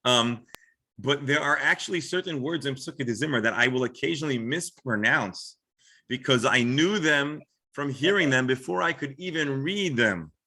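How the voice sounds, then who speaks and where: A man's voice: mid-range voice, lively intonation, normal speed, sharp tone, slightly rough. A man with an American accent, a rabbi explaining things informally in a class discussion, often restarting and qualifying his sentences. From Florida, United States. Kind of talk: informal religious lecture with discussion.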